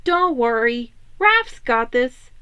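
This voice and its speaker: A woman's voice: a little high, big pitch swings, slow, bright, forceful tone, smooth, no echo, heavily acted. A woman voicing a caring, fatherly male mutant turtle big brother with a concerned, reassuring manner, in a fan fiction reading.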